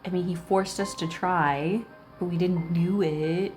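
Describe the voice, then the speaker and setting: Woman game streamer, lowish voice, irreverent read-aloud and commentary over prominent music. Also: a little slow. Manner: irreverent, salty, expressive. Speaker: gaming video host. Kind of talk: reading game dialogue aloud with casual commentary.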